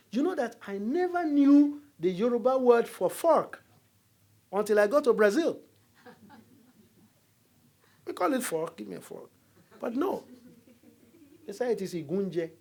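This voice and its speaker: A man's voice: high-pitched, normal speed, long pauses. A middle-aged Nigerian man with a Nigerian accent, giving a university lecture as a diplomat, telling stories and jokes to the audience. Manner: storytelling, animated, jokes with audience.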